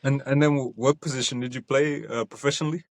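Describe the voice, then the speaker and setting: A man hosting a podcast, asking short, quick questions and nodding along with a steady "yeah.". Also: medium pitch, dry recording, studio quality. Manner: brief backchannel agreement, quick questions. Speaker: podcast host, coach. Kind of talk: interviewing.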